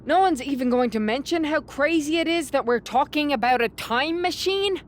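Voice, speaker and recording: A woman's voice: high voice, animated, normal speed, slight background noise. A woman playing a frank, spirited female wrestler in casual video game dialogue. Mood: incredulous.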